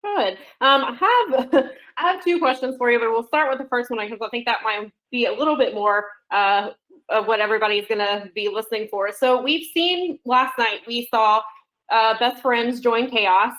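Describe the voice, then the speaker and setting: Higher-voiced woman asking press questions. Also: normal speed, a bit bright, low-quality recording. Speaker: wrestling journalist asking questions. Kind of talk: prepared press question, conversational.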